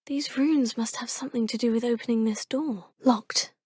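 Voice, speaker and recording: A woman's voice: moderate pace, a little mellow, slightly rough, dry recording, clean studio sound. A polite witch student. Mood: polite, curious. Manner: polite, composed, often musing in low asides. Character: curious, polite young witch student, female. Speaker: player character, a young witch student. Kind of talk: video game voice lines. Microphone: close, dry studio mic.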